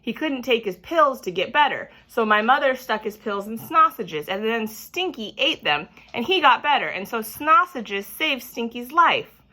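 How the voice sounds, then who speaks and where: Female reader as earnest storybook little girl: high, bright, proud, childlike enthusiasm. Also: very animated, moderate pace, exaggerated voice. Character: eager, proud, earnest little schoolgirl with stories.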